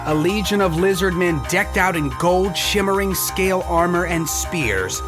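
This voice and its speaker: A man tells a comic monster adventure in a scripted storybook style. His voice sits a little high and dry in wit, and he breaks off now and then to comment to the listener. Loud music plays under him. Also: lively intonation, moderate pace. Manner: wry asides to the listener. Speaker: narrator. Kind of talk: scripted comedic narration. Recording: loud music bed behind.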